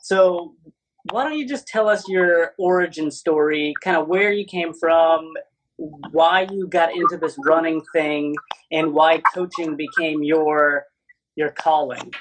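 A man's voice: a little high, takes it slowly, fairly bright tone, a little hiss or hum, rough recording. A man interviewing a running coach on a video call, friendly and upbeat, laughing often.

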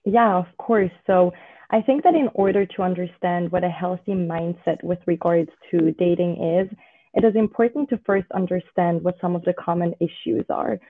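A woman from Switzerland, a dating coach, explaining her ideas in a measured way as a podcast guest on a remote call. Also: fairly low pitch, fairly even intonation, no echo. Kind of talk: podcast interview. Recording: tinny remote call audio.

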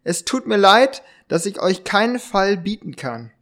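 A man playing a sly, mock-stern gentleman who interrogates intruders in an amateur audio drama, in a halting, drawn-out way. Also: high-pitched, sing-song, heavily acted. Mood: apologetic.

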